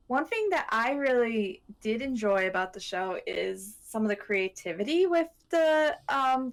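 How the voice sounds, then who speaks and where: Female podcast co-host, medium pitch, thoughtful and halting as she explains, conversational. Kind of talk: casual discussion.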